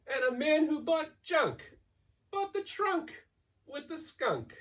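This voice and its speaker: A man's voice: extremely high pitch, sing-song, takes it slowly, fairly bright tone, distant mic. A man giving a playful, theatrical read-aloud of a children's picture book, stretching key words and racing through piled-up lists. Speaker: school staff member reading a children's book aloud.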